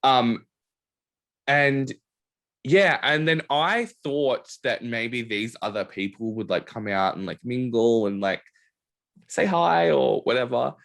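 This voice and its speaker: A man's voice: medium pitch, slow pace, vocal fry. A young man hosting a podcast, chatting casually and telling stories full of "like" fillers. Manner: animated storytelling, many "like" fillers. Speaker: podcast host. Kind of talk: casual chat.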